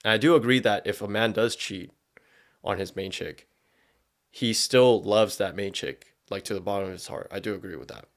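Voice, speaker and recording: A man's voice: lowish voice, moderate pace. A man hosting a podcast, giving casual, opinionated commentary in slangy language full of profanity. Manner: slangy, profanity-laden speech. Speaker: podcast host.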